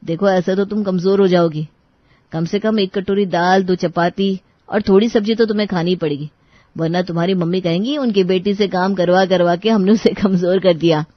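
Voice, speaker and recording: A woman's voice: on the low side, steady tone, normal speed, dry recording, dull audio, close to an everyday voice. Woman acting a fussing in-law, dry sound. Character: fussing, easily offended in-law urging food. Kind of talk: dramatized story dialogue.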